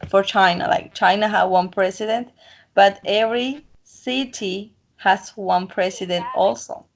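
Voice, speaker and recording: A woman from the Colombian coast, a native Spanish speaker, chatting in English with a Colombian accent, talkative and self-assured. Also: mid-range voice. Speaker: interviewee. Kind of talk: casual chat.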